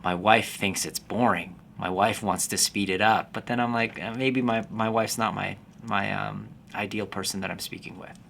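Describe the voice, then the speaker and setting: A man in his forties, born in the Philippines, a fitness business coach talking to camera in a calm, slow, unhurried way. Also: lowish voice, vocal fry, a little hiss or hum. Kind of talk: casual talking-to-camera vlog.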